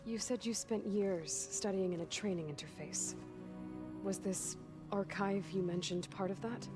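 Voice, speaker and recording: An actress playing a steady, probing young heroine in a video game, direct and measured, blunt but caring. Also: female voice, medium pitch, close to an everyday voice. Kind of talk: video game dialogue acting. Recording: music behind the voice.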